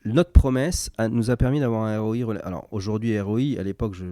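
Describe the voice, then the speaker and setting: A man from Morocco explains his company to an interviewer, stopping and restarting often and filling pauses with hesitations. His voice sits low and sounds dark and mellow. The recording is dry and close, with no room echo. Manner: hesitant, many fillers and restarts. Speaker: company founder, guest. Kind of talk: conversational interview answers.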